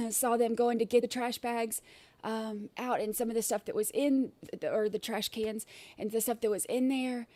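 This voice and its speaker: A woman hosting a casual livestream, her throat scratchy and irritated, clearing it often and rambling with many fillers and restarts. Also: fairly high pitch, mellow, dry recording. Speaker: livestream host.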